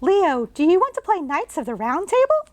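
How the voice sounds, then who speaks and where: A woman doing a high, bossy little girl. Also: big pitch swings, normal speed, no room sound. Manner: high, bright, decisive child voice. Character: bossy imaginative little girl playing king.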